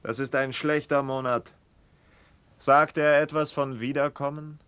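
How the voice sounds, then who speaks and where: Anxious, brusque wanderer for radio drama. Very deep register, slow, terse delivery. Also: female voice, fairly even intonation, fairly bright tone, clear, resonant tone. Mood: brusque, searching. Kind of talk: literary radio drama acting.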